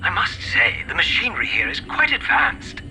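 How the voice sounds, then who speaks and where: An actor voicing a put-upon, sardonic robot butler in a video game, deferential yet snide. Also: male voice, high-pitched, huge pitch swings, moderate pace, pushed and bright, faint music behind, exaggerated voice, low-quality recording. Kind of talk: video game cutscene acting.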